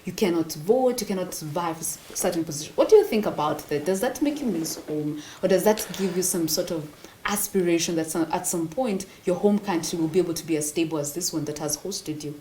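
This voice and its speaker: A woman with a lowish voice conducts a TV interview, asking probing, measured questions. Her voice sounds roomy with a faint hiss behind it. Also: mellow. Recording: roomy studio echo with faint noise.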